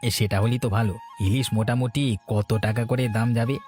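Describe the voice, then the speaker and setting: A man's voice: mid-range voice, steady tone, quick pace, a little mellow, faint music behind, lightly acted. A man voicing a sensible, weary working husband in a cartoon story, plain-spoken and homely in his talk. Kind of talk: Bengali cartoon story dialogue.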